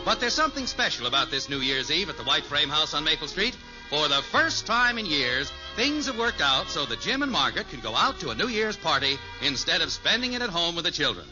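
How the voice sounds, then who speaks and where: A man's voice: on the high side, big pitch swings, fairly quick. A man playing a cheerful announcer and narrator on a 1950s family radio sitcom. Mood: genial, presenting. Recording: old muffled radio recording, music behind.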